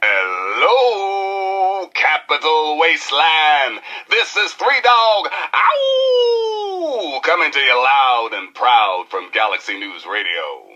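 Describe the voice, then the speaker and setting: A man's voice: on the high side, sing-song, slow pace, bright, forceful tone, lo-fi sound, heavily acted. A man playing a brash, irreverent post-apocalyptic rebel radio DJ with showy, hyped patter. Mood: hyped, exuberant. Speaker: radio DJ and newsreader.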